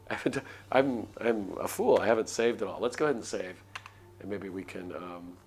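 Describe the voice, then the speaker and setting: A man's voice: on the low side, moderate pace, a little mellow. A man reading a visual novel aloud on a live stream, moving between dramatic narration and casual asides.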